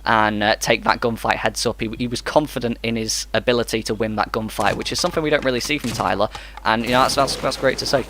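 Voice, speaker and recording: A man giving energetic, rapid play-by-play commentary on an esports match. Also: mid-range voice, slight background noise.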